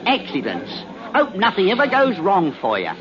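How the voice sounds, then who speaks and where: An actor playing a chummy, excitable working-class English man in a 1940s radio drama. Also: high voice, moderate pace. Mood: chummy, probing. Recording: muffled 1940s radio recording, crowd murmur.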